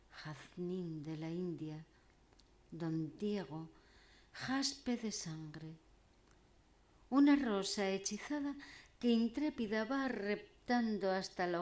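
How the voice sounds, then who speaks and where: A woman declaiming poetry in a solemn, theatrical way, with deliberate pauses between phrases. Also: lowish voice, a little mellow. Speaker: poet reciting own poem.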